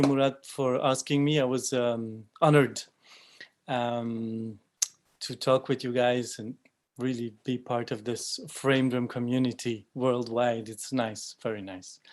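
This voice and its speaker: A man in his forties, a frame drummer, chatting on a video call with enthusiasm and lots of ums, his sentences often trailing off quietly. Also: on the high side, slight background noise. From Belgium. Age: 40s. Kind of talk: casual online panel chat.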